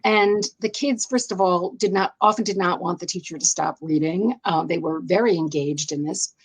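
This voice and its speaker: A woman's voice: lowish voice, normal speed, slightly rough. A woman with an American accent talking as an interview guest, explaining things thoughtfully and rephrasing as she goes. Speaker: interview guest, education writer.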